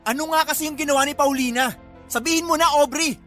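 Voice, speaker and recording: A two-timing Filipino boyfriend who talks fast in a high voice. He is indignant and demanding in radio-melodrama style, over faint music. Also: sharp tone. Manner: defensive, demanding. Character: cheating live-in boyfriend, indignant and demanding man. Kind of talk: Filipino radio drama acting.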